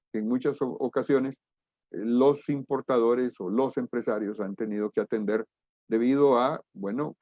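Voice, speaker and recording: A man's voice: medium pitch, darkish tone, no room sound. Dull-sounding Guatemalan man giving a lecture. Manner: deliberate, emphatic, rhetorical questions. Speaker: international trade lecturer and expert. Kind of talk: webinar lecture, explanatory.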